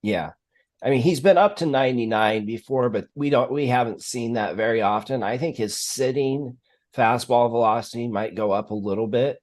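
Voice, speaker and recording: A man hosting a casual sports talk stream, explaining things in a measured, analytical way. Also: medium pitch, a little mellow. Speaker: sports live stream host.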